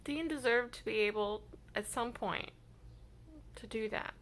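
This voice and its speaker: A woman reading vlogger chatting casually and expressively, with halting thoughts that trail off. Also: on the high side, a bit bright, slight background noise. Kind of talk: casual reaction vlog chat.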